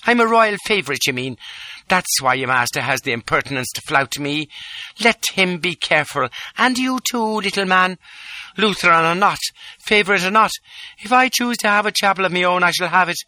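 A man voicing a haughty, furious woman who is a royal favourite, with a rich, husky, contemptuous voice. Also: high voice, animated, moderate pace, sharp tone, no echo, voice pushed up, exaggerated voice. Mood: imperious. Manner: contemptuous, imperious.

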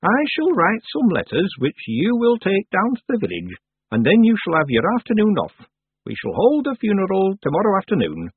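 Storybook old witch done by a male reader: stern, dry, lively swooping delivery, thin phone-like sound. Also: high-pitched, husky, no echo, voice pushed up, exaggerated voice. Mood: brisk, matter-of-fact. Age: over 100. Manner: brisk, stern, calm. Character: ancient imperious witch, woman, drily matter-of-fact.

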